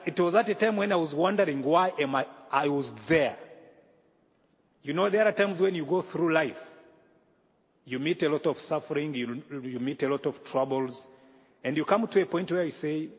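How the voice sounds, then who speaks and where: A man from Rwanda preaching as a guest pastor, earnest and measured with pauses, in a church sanctuary. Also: mid-range voice, slightly rough. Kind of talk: sermon. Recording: echoey hall, dull lo-fi sound.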